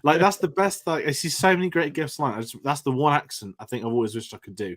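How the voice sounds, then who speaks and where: A young man, talking quickly in a chatty, upbeat way as co-host of a casual chat. He rambles into asides and keeps the conversation moving. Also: a little high, fairly bright tone.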